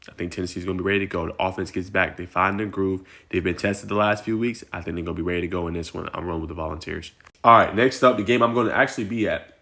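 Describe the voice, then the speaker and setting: A man talks through his weekend football picks in a casual, energetic way. His voice is deep and rough with fry, hoarse this morning. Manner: energetic, conversational, frequent "man" and "right?". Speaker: sports content creator.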